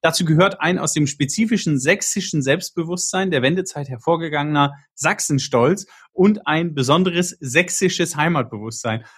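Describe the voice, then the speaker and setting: A man's voice: on the high side, fairly bright tone, breathy edge. A man hosting a podcast discussion, thinking aloud with frequent restarts and tag questions. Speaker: podcast host.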